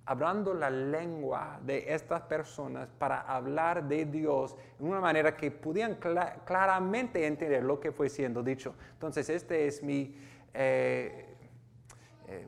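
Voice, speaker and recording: Pastor with an American accent, slightly higher voice than most men, earnest, stumbling through some words, with a long hall echo. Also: male voice, vocal fry. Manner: earnest, with restarts and self-corrections. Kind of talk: sermon, reading and explaining scripture.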